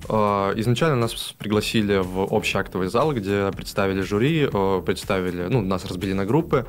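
A man in his thirties, a guest in a studio interview, explaining his tourism project with many pauses and fillers. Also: lowish voice. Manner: explanatory, with frequent hesitations. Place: radio studio. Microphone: studio mic on stand.

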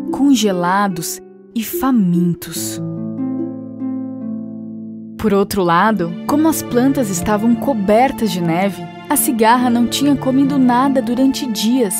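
High-voiced Brazilian woman narrating a children's story. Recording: music behind the voice.

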